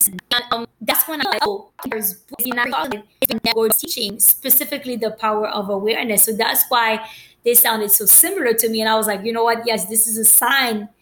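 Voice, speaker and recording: A woman's voice: on the high side, brisk, a bit bright, slight background noise, poor audio. A woman from Haiti talking casually about her personal journey, rambling with many fillers and restarts.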